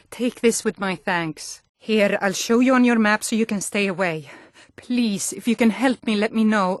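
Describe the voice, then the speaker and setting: An actress playing a hardy Nordic woman of a fantasy realm in a video game, speaking in a firm, earnest, deliberate way. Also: fairly high pitch, no room sound, studio quality, natural voice. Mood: urgent, pleading. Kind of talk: scripted fantasy video game character dialogue.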